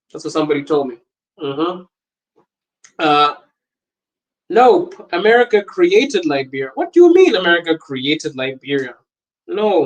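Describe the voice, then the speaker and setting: A young man from Botswana chats loosely on a livestream, answering viewer comments as he goes. His voice sits a little high for a man, and he laughs often and rambles between topics. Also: low-quality recording. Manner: animated, laughing often, wandering and talkative. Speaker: podcast host on a livestream. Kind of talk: casual livestream chat reading viewer comments.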